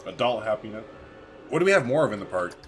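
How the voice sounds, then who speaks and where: A man's voice: lowish voice, big pitch swings, quick pace, soft background music. A man giving casual let's-play commentary as a gaming video host, thinking aloud in informal, slangy language.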